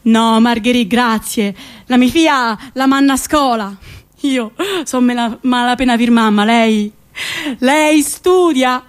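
A woman's voice: a little high, slow pace, smooth, pitched below the performer's own voice. Casting: actress as hardworking country mother, Roman dialect, earthy, plain, radio play. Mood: firm, proud. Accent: central Italian, Roman country dialect. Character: plain-spoken, hardworking rural mother, dialect-speaking railway keeper.

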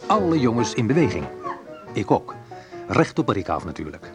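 A man's voice: lowish voice, sing-song, normal speed, loud music behind the voice. An actor playing a wistful, reflective man who recalls awkward teenage love in an intimate radio play monologue. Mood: tense recollection.

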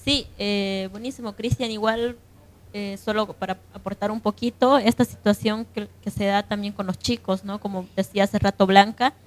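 A woman's voice: mid-range voice, fairly even intonation, normal speed, a little hiss or hum. A woman with a Bolivian accent speaking conversationally in an unscripted radio discussion, often checking for agreement. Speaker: radio panelist.

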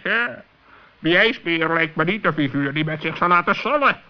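An actor playing a fussy talking bird in a children's radio play, with a hemming way of speaking. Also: male voice, a little high, lively intonation, normal speed, natural voice. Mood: wary. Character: fussy talking bird, travelling companion of a gnome. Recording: dull old radio play recording.

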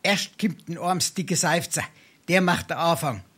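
A boozy, roguish scrounger in a dialect stage farce, with a thick Darmstadt Hessian accent. He lectures and wheedles in florid, pompous declamation, like a shabby gentleman holding court. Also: a little high, unhurried, husky. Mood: coaching. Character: roguish, boozy, grandiloquent male scrounger coaching his friend. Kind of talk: theatrical dialect farce acting.

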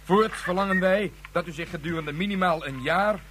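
An actor playing a stern colonel of an extremist group in a science-fiction radio play, formal, commanding and coldly deliberate. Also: male voice, sits high, a bit bright. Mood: cold threat. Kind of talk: Dutch science-fiction radio drama acting. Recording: noisy radio play recording with hum.

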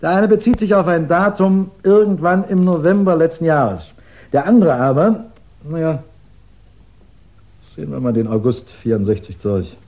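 A man acting a shrewd English police chief in a 1960s German radio crime drama, deliberate and ironically polite, with musing pauses. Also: on the high side, lo-fi sound, lightly acted. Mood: musing, triumphant.

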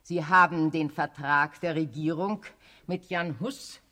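A man's voice: on the high side, steady tone, measured pace, pushed and bright. An actor in a radio play, a man playing a theatrical, self-pitying churchman with a plaintive, sanctimonious and declamatory delivery. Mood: indignant, accusing.

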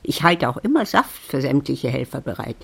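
A woman playing a lonely, wry old widow in a German radio drama, musing and grumbling to herself in a creaky voice. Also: very deep voice, very animated, moderate pace, fairly bright tone, heavily acted. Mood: resigned, grumbling. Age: older.

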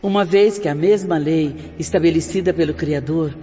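A woman's voice: sits low, normal speed, mellow, lightly acted. An actress playing an earnest female spirit teacher in a radio drama, with formal, preaching diction. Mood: earnest, hopeful. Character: Sister Doris, earnest female spirit teacher of moral re-education. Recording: dull reverberant recording with music.

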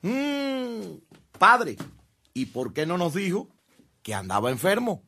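A man's voice: high voice, slow, heavily acted. An actor playing a respectful, puzzled man who questions a priest with concern in a radio drama. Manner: animated, inquisitive.

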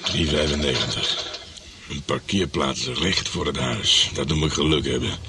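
An actor playing a private detective muttering to himself on a stakeout in a radio thriller. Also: male voice, rumbling low, a little slow, far from the mic, heavy background noise. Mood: quiet, observant.

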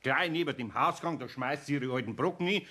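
A man's voice: mid-range voice, brisk, sharp tone. A man playing a kindly, grumbling older master carpenter with a Bavarian accent and a homely, scolding manner in a children's radio play.

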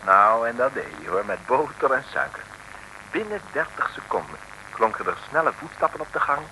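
A man's voice: medium pitch, sing-song, moderate pace. A man reading scripted narration as the storyteller of an old radio serial. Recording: noisy old radio recording.

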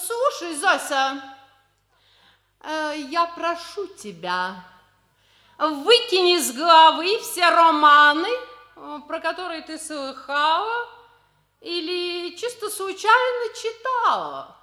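Актриса средних лет играет властную, расчётливую мать, которая говорит напористо и назидательно, в манере советской радиокомедии шестидесятых. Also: female voice, very high-pitched, big pitch swings, takes it slowly, pushed and bright, full character voice. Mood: stern, insistent. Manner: imperious, emphatic, scolding. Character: domineering, scheming mother pushing her daughter to marry for money. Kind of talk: 1960s Soviet radio comedy acting.

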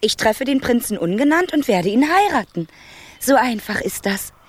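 A woman's voice: fairly high pitch, sing-song, moderate pace. An actress playing a headstrong, girlish fifteen-year-old princess in a children's radio drama. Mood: determined. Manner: defiant, girlish.

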